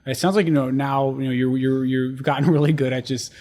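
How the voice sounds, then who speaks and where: Man, podcast host, rapid talker, chatty, laughs often. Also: medium pitch, steady tone, darkish tone. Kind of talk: casual interview conversation.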